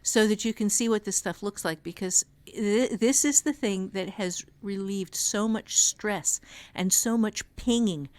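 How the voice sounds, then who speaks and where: A woman's voice: mid-range voice, unhurried, no echo. A woman co-hosting a podcast, chatting casually in a candid, emphatic, self-deprecating way. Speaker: author and teacher, podcast co-host. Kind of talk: casual chat.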